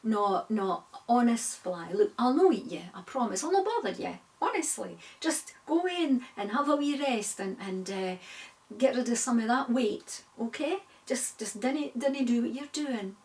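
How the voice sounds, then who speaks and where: A woman's voice: fairly high pitch, animated, normal speed, recorded from a distance. A woman voicing a sly male barn spider with a Scottish accent, wheedling and insistent, repeating himself. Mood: coaxing.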